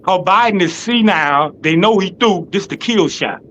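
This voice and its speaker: Southern man, panel guest, loud and insistent, highish voice, lo-fi call. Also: animated, moderate pace, a little hiss or hum. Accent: Southern American. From American South. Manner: loud, insistent, talks over others, swears. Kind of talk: heated panel argument.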